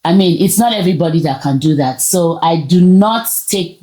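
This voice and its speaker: A woman with a Nigerian accent hosting a casual conversation, speaking earnestly with halting repeats. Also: fairly low pitch, lively intonation, warm tone. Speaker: host of a talk show.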